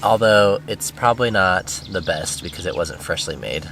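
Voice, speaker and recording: A man's voice: sits low, normal speed, fairly bright tone. A young American man talking casually as a travel vlogger. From United States. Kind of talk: casual vlog chat. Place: outdoors in a town. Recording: outdoor ambience with clear background noise.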